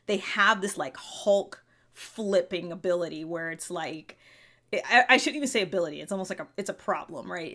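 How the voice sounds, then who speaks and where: A woman's voice: fairly low pitch, a bit bright. A woman hosting a podcast, talking through personality theory in a casual, chatty way full of fillers and restarts. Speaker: podcast host. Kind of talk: casual explanatory monologue.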